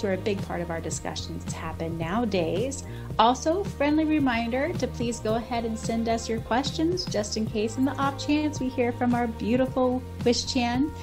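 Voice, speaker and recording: Female podcast co-host, high pitch, effusive and giggly, casual chat. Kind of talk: casual podcast chat, reading listener comments.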